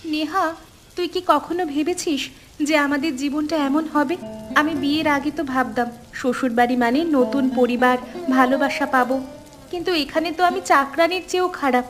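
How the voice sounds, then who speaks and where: A woman's voice: high voice, moderate pace, over music, natural voice. A woman voicing a weary, mistreated young daughter-in-law in a cartoon, with a plaintive manner. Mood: weary, bitter. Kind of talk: Bengali family cartoon dialogue.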